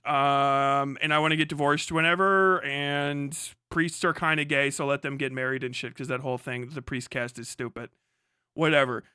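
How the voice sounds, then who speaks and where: A man's voice: mid-range voice, steady tone, moderate pace, pushed and bright, clear, resonant tone, dry recording, studio quality. A man live streaming a casual rant, speaking in a profane, sarcastic, mocking way. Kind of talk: casual livestream rant and commentary.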